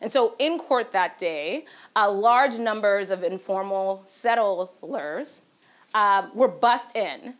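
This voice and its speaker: A woman's voice: medium pitch, expressive, takes it slowly. A woman law professor on a panel, speaking to an audience in a lecture hall with many fillers and "right?" tags, picked up by a far camera.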